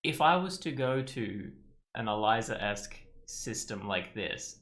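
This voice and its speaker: Bright-voiced man musing over a game. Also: on the low side, expressive, measured pace. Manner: musing, thinking aloud. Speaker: game streamer. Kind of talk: casual let's play commentary.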